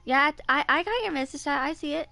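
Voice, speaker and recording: High-voiced young woman streaming a game. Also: lively intonation, brisk, creaky, sharp tone. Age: 20s. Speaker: streamer playing a video game. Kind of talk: casual game commentary, reading quest text aloud. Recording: game music behind the voice. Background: game music.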